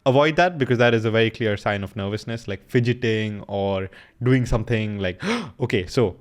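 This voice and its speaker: A man's voice: on the low side, normal speed. A young man in casual commentary, coaching viewers through a recorded sales call in a loose, slangy way.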